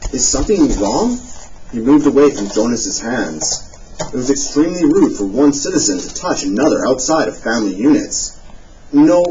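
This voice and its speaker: A man narrating a novel aloud, giving the dialogue expressive readings. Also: medium pitch. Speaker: audiobook narrator. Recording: distant, dull sound with faint hiss.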